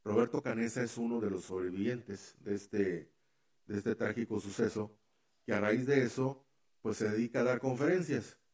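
A man's voice: fairly low pitch, moderate pace, a little mellow. A man hosting a casual radio chat, rambling with many fillers and restarts. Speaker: radio show host.

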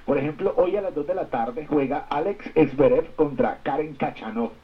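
A man with a Colombian accent co-hosting a radio show in casual sports chat, halting and repeating himself as he searches for words. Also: fairly high pitch, muffled recording.

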